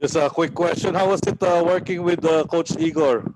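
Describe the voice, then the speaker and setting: A man hosting an online coaching webinar, introducing a guest with halting speech full of fillers. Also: fairly high pitch, steady tone, noisy background. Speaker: host.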